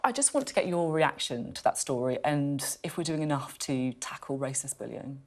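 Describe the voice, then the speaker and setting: A female broadcast interviewer with a very deep voice for a woman. She puts challenging questions in a measured, careful way. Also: expressive, a little mellow. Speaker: news interviewer.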